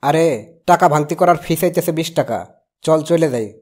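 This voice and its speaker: Male actor as reluctant young uncle, quick delivery, grumbling, exasperated, Bangladeshi accent. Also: a little high, a little mellow, close to an everyday voice. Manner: grumbling, reasoning.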